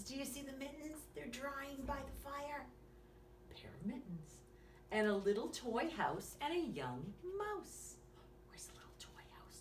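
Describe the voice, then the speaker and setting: A woman hosting storytime for young children, speaking in a sing-song way with simple questions for her small listeners. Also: high-pitched. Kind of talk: read-aloud storytime and craft lesson for young children. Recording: echoey room, distant mic, faint noise.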